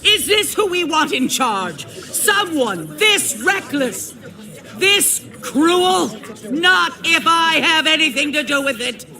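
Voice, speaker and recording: An actress playing a cold, ruthless survivor town leader in a video game, theatrical, menacing and mock-sincere. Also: female voice, high voice, expressive, slow pace, pushed and bright, heavily acted. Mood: mock sorrow. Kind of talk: dramatic video game acting.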